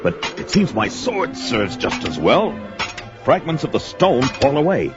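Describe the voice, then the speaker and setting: Bombastic barbarian warrior, wildly animated. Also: male voice, medium pitch, unhurried. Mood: battle-strained, fierce. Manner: archaic, bombastic speech with oaths. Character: brawny barbarian warrior, gruff, proud, grudgingly loyal. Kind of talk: melodramatic audio drama, self-narrating the action. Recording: dull lo-fi recording with loud music bed. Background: dramatic music.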